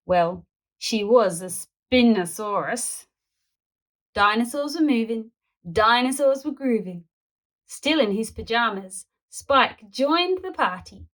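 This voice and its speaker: Slow, high-pitched woman reading a storybook. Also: lively intonation, fairly bright tone, rough recording. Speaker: read-aloud storyteller. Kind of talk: animated children's story reading.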